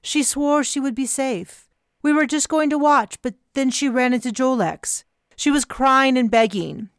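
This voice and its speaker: A woman's voice: high-pitched, unhurried, clear, resonant tone, dry recording, studio quality, voice pushed up. A woman reading aloud, voicing a beaked alien woman who hisses her words defensively. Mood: anxious. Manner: hissing. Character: beaked alien female, old friend, defensive.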